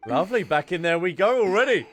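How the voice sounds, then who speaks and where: A woman's voice: fairly low pitch, sing-song, fast talker, soft background music. A woman commentating casually as she plays through a game story, chatty and giggly, answering the characters on screen. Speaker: gaming streamer playing through a story quest.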